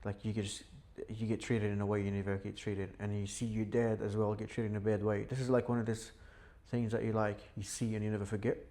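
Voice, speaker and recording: A young man who grew up in Syria, a podcast guest telling his life story in a relaxed, chatty way with frequent fillers. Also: lowish voice, fast, hardly any pauses. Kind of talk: casual podcast interview. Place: indoor podcast setup.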